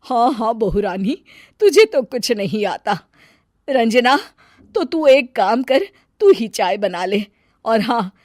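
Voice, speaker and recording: Woman playing bossy, sarcastic high-voiced mother-in-law. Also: no echo, clean studio sound, heavily acted. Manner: sarcastic, commanding. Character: offended, bossy middle-aged mother-in-law. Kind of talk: dramatized Hindi family story.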